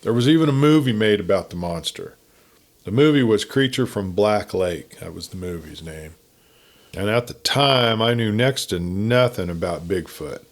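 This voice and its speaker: A man narrating a written campfire story in a folksy, conversational, unhurried manner. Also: lowish voice, lively intonation. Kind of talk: folksy scripted story reading.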